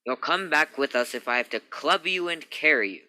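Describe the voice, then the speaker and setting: A man's voice: on the high side, expressive, normal speed, bright, forceful tone, dry recording. A man acting a stern, logical spaceship captain in a radio play, commanding and insistent. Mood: stern, alarmed. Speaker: actor in a student radio play.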